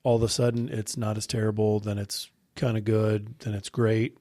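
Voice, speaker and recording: A man in his thirties co-hosting a podcast, speaking in a measured, reflective way. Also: on the low side, flat delivery, warm tone, dry recording, clean studio sound. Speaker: podcast co-host. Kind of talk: casual podcast chat.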